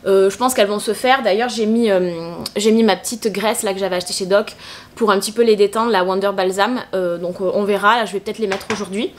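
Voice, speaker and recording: Fast-talking congested woman vlogger. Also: medium pitch, fairly even intonation. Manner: chatty, rambling with frequent hesitations. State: congested with a cold. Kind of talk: casual shopping haul chat.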